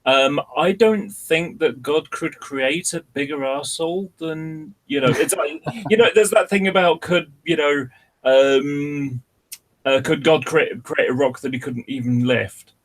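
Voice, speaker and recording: A man in his forties chatting casually as host of an online group call, with a hesitant, halting delivery. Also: fairly high pitch, poor audio. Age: 40s.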